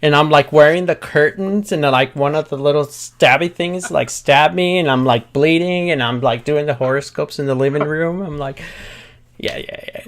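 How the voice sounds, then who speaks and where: A man's voice: fairly high pitch, normal speed. A man with a slight Spanish accent, a Spanish native speaker, hosting a casual livestream chat as a chatty, giggly storyteller. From United States. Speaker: host.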